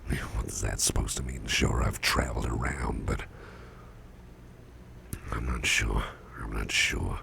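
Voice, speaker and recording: A man performs an ailing, sleepy boss in an intimate ASMR roleplay. His voice is extremely deep and full of heavy vocal fry, drifting along in a breathy murmur. He rambles gently and hesitantly at a slow pace, repeating himself as he confides. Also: slight background noise, full character voice. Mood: uneasy, puzzled. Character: sickly, drowsy, sweet-tempered boss confiding in his employee.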